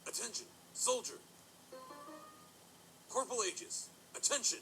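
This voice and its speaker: A man's voice: extremely high pitch, animated, measured pace, gravelly, bright, forceful tone, soft background music, heavily acted, rough recording. A man playing a wary pony soldier in an audio drama, with a formal military manner. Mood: commanding.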